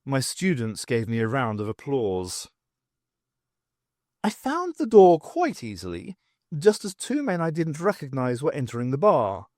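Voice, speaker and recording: A man reading a first-person story aloud as an expressive audiobook narrator, with wry, dramatic, emphatic phrasing. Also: mid-range voice, measured pace, no room sound, studio quality. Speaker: audiobook reader.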